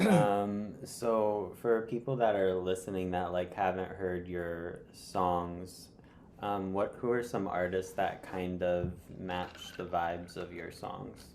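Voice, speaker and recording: Guy co-hosting a podcast, deep voice, talks slow, kinda hesitant. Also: steady tone, a little mellow, a little hiss or hum. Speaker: podcast co-host. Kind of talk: asking interview questions.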